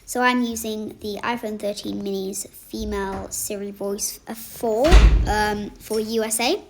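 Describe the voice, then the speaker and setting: A woman's voice: mid-range voice, fairly even intonation, unhurried, very noisy. A woman hosting a casual tech tutorial, chatty and playful, wandering into asides as she walks through apps.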